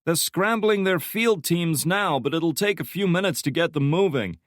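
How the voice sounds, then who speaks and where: A businesslike female analyst, as a male narrator puts her on, quick and to the point with a lifted, higher tone. She is heard dry and close, with no room around her. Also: animated, dry recording, lightly acted. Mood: brisk.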